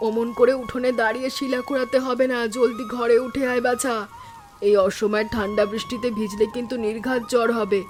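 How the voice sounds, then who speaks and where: A woman voicing an affectionate, fretting middle-aged mother and mother-in-law in an animated village drama. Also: a little high, a little fast, soft background music, close to an everyday voice. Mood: fretful scolding. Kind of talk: animated family drama voice acting.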